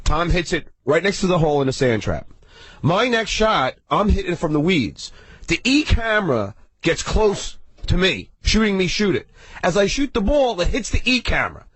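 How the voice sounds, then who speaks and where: A man's voice: high voice, very animated, moderate pace, a bit bright, husky, no room sound. A man telling a story casually on the radio, repeating and stumbling over words and acting out quotes. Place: radio studio.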